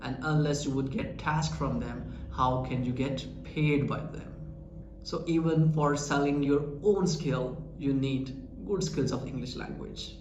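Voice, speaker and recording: A man's voice: mid-range voice, unhurried, highs cut off. Pakistani guy teaching english, earnest, keeps asking rhetorical questions, echoey room with a bit of hiss. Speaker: English teacher and channel founder. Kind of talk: motivational English lesson. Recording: echoey room with faint ambient noise.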